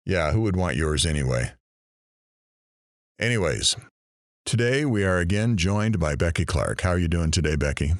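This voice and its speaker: A man playing a wry morning radio host, delivering comic patter in a deadpan, mock-sincere way. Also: deep voice, moderate pace, pauses often, no echo, studio quality.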